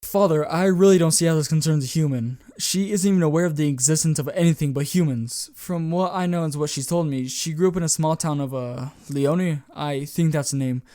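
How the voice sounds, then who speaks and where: A man's voice: fairly high pitch, brisk, hardly any pauses, dark tone, no room sound, clean studio sound, natural voice. A man voicing a defensive, curt young vampire son in an amateur roleplay. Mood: guarded.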